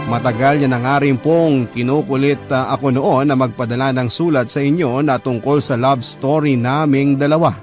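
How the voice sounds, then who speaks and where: A man with a Filipino accent reading a listener's letter as scripted radio storytelling. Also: mid-range voice. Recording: clear music bed behind narration.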